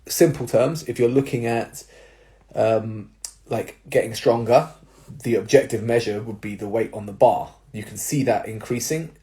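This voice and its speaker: Man, young adult, stuffy nose, slightly nasal, mellow voice, casual coaching advice, explanatory with fillers. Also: lowish voice. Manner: explanatory, with tag questions and fillers. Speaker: personal trainer and jiu-jitsu coach. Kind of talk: casual advice talk to viewers.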